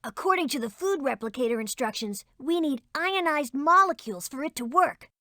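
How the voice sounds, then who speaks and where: Practical young female spy, high pitch, lively intonation, dry studio sound, instructive. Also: moderate pace, no room sound. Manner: instructive, take-charge. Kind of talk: educational game cartoon acting.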